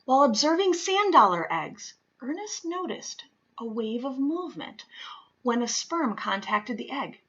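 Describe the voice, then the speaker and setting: A woman reading a children's nonfiction picture book aloud, with deliberate, emphatic phrasing for young listeners. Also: high voice, big pitch swings, a bit bright, husky, lo-fi sound. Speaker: read-aloud reader.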